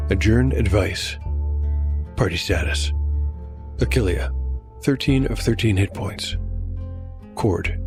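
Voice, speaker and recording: Low voiced guy, measured fantasy narrator and game master, music under him. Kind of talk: scripted dark fantasy narration with game commentary.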